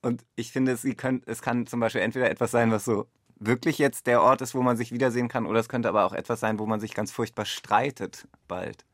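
A man's voice: medium pitch, fairly even intonation, quick pace, hardly any pauses, dry recording, studio quality. A man speaking as a radio director in an unscripted conversation, explaining his interpretations in a reflective way. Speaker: radio play director in discussion.

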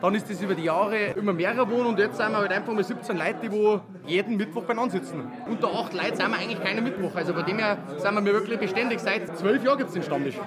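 Quick-talking Upper Bavarian man in a busy inn. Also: sits high. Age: 30s. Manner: chatty, rambling, laughs often. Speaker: interviewee, regulars' table member. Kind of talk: casual interview chat. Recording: crowd chatter in a busy inn.

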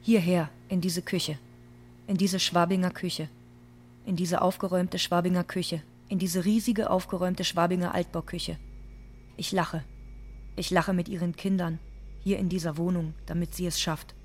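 A woman playing a stoic, bitter undocumented immigrant from Ecuador, speaking in deliberate, restrained radio drama monologue. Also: lowish voice, fairly even intonation, slight background noise, lightly acted.

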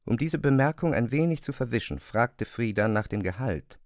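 A man's voice: mid-range voice, lively intonation, normal speed, no room sound, clean studio sound. A man narrating a classic novel as scripted literary prose.

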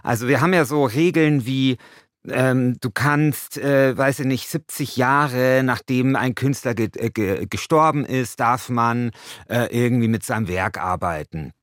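A man's voice: medium pitch, unhurried, no room sound, studio quality. A man co-hosting a podcast, talking in a colloquial, argumentative way. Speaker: podcast co-host and radio play author. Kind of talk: casual debate and informal narration.

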